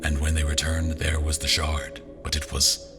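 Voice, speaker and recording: An actor playing a brooding, suspicious adventurer, narrating his story in a measured, dramatic way. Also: rumbling low, monotone, loud music behind the voice. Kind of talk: scripted first-person story narration.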